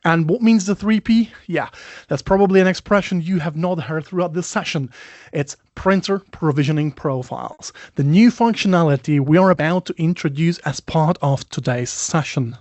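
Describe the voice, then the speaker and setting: A man's voice: on the high side, normal speed, a little mellow, no room sound. A man who is not a native English speaker, giving a chatty, self-deprecating webinar presentation over a video call, often saying "you know". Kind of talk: conversational product presentation.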